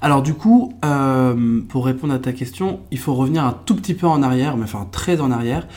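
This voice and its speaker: A young man from France, a podcast guest, telling his life story in a relaxed, reflective way with lots of fillers and restarts. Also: medium pitch, fairly quick. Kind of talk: casual conversational storytelling.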